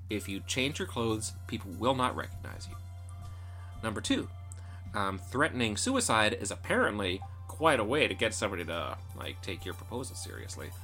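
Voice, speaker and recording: A man tells a fairy tale with playful theatricality. His pitch leaps about constantly, and prominent music runs behind the narration. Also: bright, forceful tone. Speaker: storyteller. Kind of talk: animated storybook narration.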